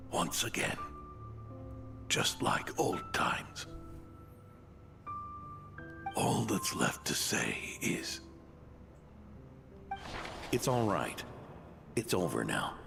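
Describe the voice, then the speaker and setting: Dying benevolent knight: very low, slow and tender, over loud game music. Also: male voice, very animated, full character voice. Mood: tender, weakened. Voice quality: low, breathy, creaky. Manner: slow, halting, whispery delivery.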